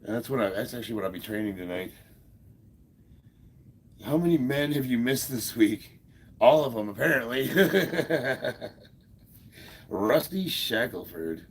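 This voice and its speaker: A man in his fifties with a deep, gravelly voice, stuffed up, chatting casually on a livestream and answering viewer comments with frequent chuckles. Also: animated, measured pace, long pauses. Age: 50s. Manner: laid-back, chuckles often, many fillers. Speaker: livestream host.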